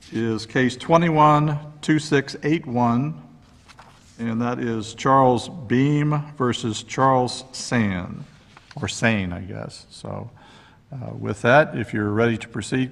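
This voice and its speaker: A man's voice: medium pitch, slow pace, creaky, a bit bright. A man presiding over an appeals court session, easygoing and joking as he sets out the rules. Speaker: presiding judge. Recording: long courtroom reverb.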